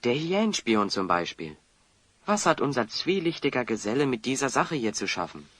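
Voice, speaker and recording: An actor playing a level-headed young adventurer hero, articulate and courteous, in a children's audio drama. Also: male voice, medium pitch, very animated, normal speed, a bit bright, no room sound. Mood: thoughtful.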